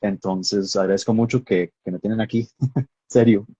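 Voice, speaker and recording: A man's voice: on the low side, normal speed, warm tone, breathy edge, no room sound, highs cut off. A man who grew up in Texas, with an American-tinged accent, chatting casually as an interview guest and often searching for his words. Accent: American-tinged Spanish, from Texas. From born in Costa Rica, grew up in Texas, USA. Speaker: guest filmmaker. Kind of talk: casual interview, personal storytelling.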